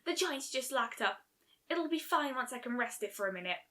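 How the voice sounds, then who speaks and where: Woman putting on a brash young pegasus mare, high-voiced. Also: lively intonation, a little fast, pushed and bright. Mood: strained, reassuring. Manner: blunt, casual, sarcastic. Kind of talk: fan fiction story reading.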